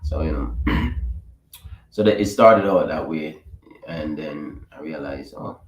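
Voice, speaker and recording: A man who runs a media business, talking in a casual interview in a halting way, full of fillers. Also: rumbling low, reverberant. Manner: halting, many "you know" fillers. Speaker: guest, media business owner.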